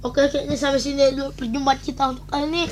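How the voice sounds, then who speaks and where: A young woman, hoarse today, chatting playfully and quickly to her viewers as a beatbox vlogger. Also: sits high, level tone, slight background noise. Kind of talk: casual vlog chat.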